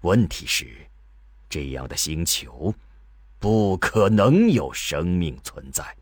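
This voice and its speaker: An old scholar in a radio drama played by a man, with an extremely deep, husky voice. He lectures patiently and slowly, with halting phrases and wide swings of intonation. The recording is close and dry. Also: no room sound, exaggerated voice.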